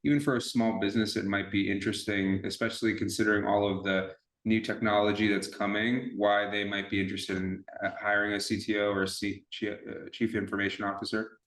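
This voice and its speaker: A man's voice: lowish voice, level tone, a little fast, a bit bright. A man hosting a podcast, asking long, casual questions in a conversational way. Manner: conversational, rambling questions with restarts. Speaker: podcast host. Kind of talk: casual interview. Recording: echoey room.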